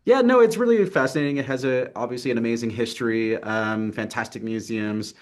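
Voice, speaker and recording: A young man from Texas talks over a video call, sounding earnest and a little halting as he fills his pauses with ums and uhs. His pitch sits in a plain middle range for a man, and the tone is an easygoing interview chat. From Texas, United States. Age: young adult. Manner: earnest, hesitant, many fillers. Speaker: film writer and actor, interview guest. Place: city apartment with street traffic outside.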